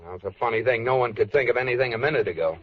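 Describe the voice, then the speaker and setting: A man's voice: medium pitch, very fast, natural voice. A man playing a stubborn, touchy husband, defensive and indignant, in a 1940s radio comedy. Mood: peeved. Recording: noisy, muffled old radio recording.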